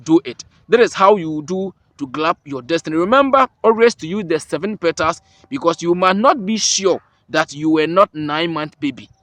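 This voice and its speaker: A man's voice: fairly high pitch, lively intonation, normal speed, few pauses, fairly bright tone, no echo. A man with a Ghanaian accent, hosting an herbal remedy channel, explains a ritual step by step and repeats every point with emphasis. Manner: repetitive, emphatic, restates each step. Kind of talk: step-by-step spoken instructions.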